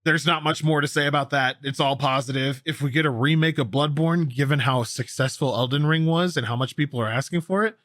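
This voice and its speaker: A man's voice: on the high side, brisk, few pauses, a bit bright, dry recording, clean studio sound. A man hosting a gaming news show, commenting casually and enthusiastically on stories with a co-host. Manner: enthusiastic, opinionated, conversational.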